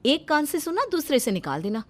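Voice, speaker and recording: A woman voicing an exasperated, sharp-tongued young working wife who speaks emphatically in a dramatized story. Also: high-pitched, big pitch swings, brisk. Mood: advising. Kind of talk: dramatized Hindi moral story.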